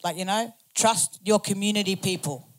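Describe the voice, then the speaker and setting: Aussie panel speaker, loud and blunt, swears, lots of slang. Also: mid-range voice, moderate pace, low-quality recording. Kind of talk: speaking at a community forum.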